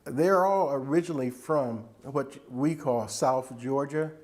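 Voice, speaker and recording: A man's voice: medium pitch, a little slow, a little mellow. A man in his seventies chatting about his age and family roots. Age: 70s. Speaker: oral history interviewee.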